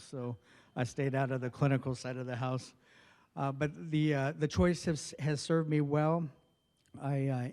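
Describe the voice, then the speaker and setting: A soft-spoken man in his forties from northwestern New Mexico gives a personal speech at a formal event. He talks at a middling pitch in a flat, steady tone, pausing often with fillers. His voice carries a long reverb from a large hall. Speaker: federal health official giving a speech. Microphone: podium microphone.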